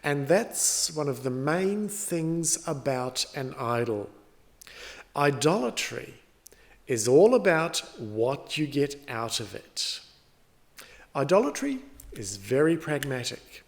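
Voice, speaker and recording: A man's voice: medium pitch, sing-song, slow. A middle-aged man, a pastor giving a sermon in a conversational, storytelling way. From New Zealand.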